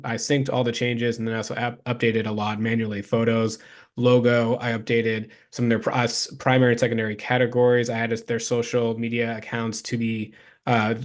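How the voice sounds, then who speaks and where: A man giving an informal explanatory presentation as a consultant, speaking directly to the viewer with practical advice. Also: mid-range voice. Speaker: local SEO consultant presenting a case study.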